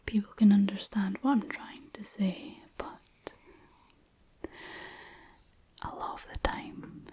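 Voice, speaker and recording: Whispering woman, slow and hushed. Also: medium pitch, fairly even intonation. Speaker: language tutor. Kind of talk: whispered ASMR language lesson.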